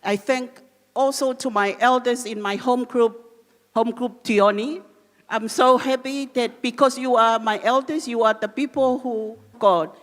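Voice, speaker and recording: Earnest higher-voiced woman. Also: fairly even intonation, measured pace. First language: Cook Islands Māori. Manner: earnest, in simple non-native English. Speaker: church member giving testimony. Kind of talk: testimony in church. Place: church hall. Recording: long reverb of a church hall.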